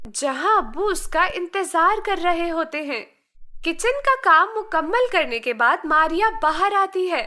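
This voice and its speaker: A woman's voice: extremely high pitch, pushed and bright. A woman narrating a scripted moral story for children as a storyteller.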